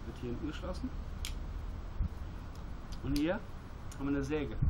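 A man's voice: mid-range voice, moderate pace. A middle-aged man chats casually and bluntly, giving a hands-on gear review in colloquial, mildly profane words. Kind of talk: casual hands-on product talk. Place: outdoors at a table by a metal-walled building. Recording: muffled outdoor recording, loud traffic noise, clicks. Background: loud passing vehicle noise, clicking of handled items.

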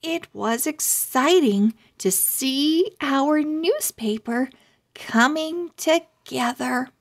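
A woman reading a children's storybook aloud in a deliberate, scripted narration. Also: sits high, expressive.

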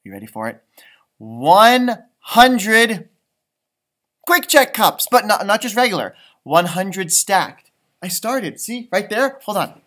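A man's voice: high voice, big pitch swings, slow pace, fairly bright tone. A man in his thirties reading aloud expressively for children, with chatty asides and musing exclamations. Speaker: teacher, storytime host. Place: indoors, school library.

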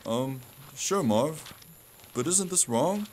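A man's voice: on the high side, expressive, darkish tone, a little hiss or hum. A man playing a dim, good-hearted, timid crook's sidekick in an audio drama, with a hesitant, folksy manner. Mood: hesitant, naive. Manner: slow-witted, hesitant, folksy. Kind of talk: audio theater acting.

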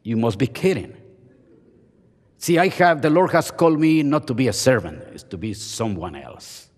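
A man's voice: mid-range voice, big pitch swings, normal speed. An older man giving a playful, self-mocking chapel sermon from a podium. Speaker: seminary professor and chapel speaker. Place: large seminary chapel auditorium. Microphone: podium microphone. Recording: long hall reverb.

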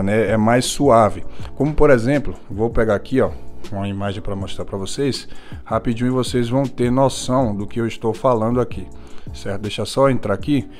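A man's voice: mid-range voice, a little mellow. Brazilian man reviewing tech, music behind. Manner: informal, explanatory, often adding tag questions. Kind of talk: casual product walkthrough to camera. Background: background music.

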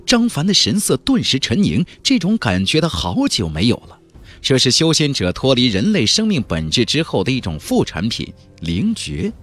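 Male audiobook narrator, very animated delivery with a husky edge, faint music underneath. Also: mid-range voice, normal speed. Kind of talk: dramatic scripted narration of a fantasy novel.

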